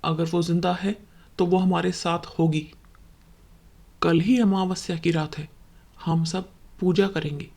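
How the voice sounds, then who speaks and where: A woman's voice: fairly low pitch, steady tone, slow, gravelly, pauses often, darkish tone, heavily acted. Woman playing a grave, paternal village holy man. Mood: reassuring. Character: solemn, reassuring male village holy man. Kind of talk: dramatized horror cartoon story.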